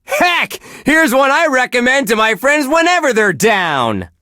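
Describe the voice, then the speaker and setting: A man's voice: very high-pitched, very animated, unhurried, sharp tone, no echo, studio quality, heavily acted. An actor playing a relentlessly cheerful male radio DJ at a death metal station, gushing and wholesome in his patter. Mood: gushing, upbeat. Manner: gushing, sunny, wholesome delivery. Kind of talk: upbeat radio host patter.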